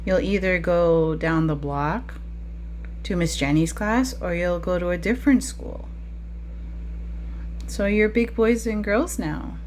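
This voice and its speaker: A woman's voice: fairly low pitch, takes it slowly, long pauses, darkish tone, slight background noise. A woman preschool teacher talking to young children during a picture-book read-aloud, with frequent questions in a teacherly way.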